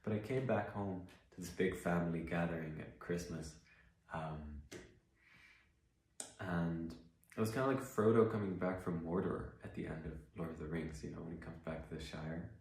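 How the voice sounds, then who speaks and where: Songwriter, low voice, chatty and reflective between songs, heavy room echo. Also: male voice, steady tone, normal speed, a little mellow. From Iceland. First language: Icelandic. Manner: reflective, rambling storytelling with many fillers. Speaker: musician and songwriter. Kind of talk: casual livestream chat between songs. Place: living room. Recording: very echoey room.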